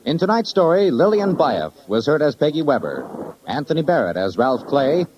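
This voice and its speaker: A man's voice: a little high, big pitch swings, normal speed. An American man announcing in a scripted 1950s radio style, formal, polished and emphatic. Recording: muffled 1950s radio broadcast, loud music.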